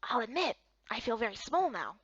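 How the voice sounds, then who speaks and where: Woman playing a curious, animated young fantasy heroine. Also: a little high, normal speed, breathy edge, no echo, lo-fi sound, full character voice. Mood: awed, curious.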